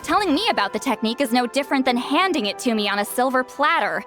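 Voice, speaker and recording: Game role: prickly great shaman woman, high-pitched, theatrical and defensive, music underneath. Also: lively intonation, fast, fairly bright tone. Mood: matter-of-fact, proud. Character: proud, prickly female great shaman, easily flustered. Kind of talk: video game voice acting.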